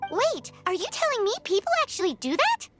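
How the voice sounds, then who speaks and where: A woman voicing a tiny, childlike fairy companion in a video game, squeaky, perky and cheeky, given to little exclamations. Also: big pitch swings, a little fast, over loud music. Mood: cheeky, puzzled. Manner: refers to herself by name, exclaims, teasing.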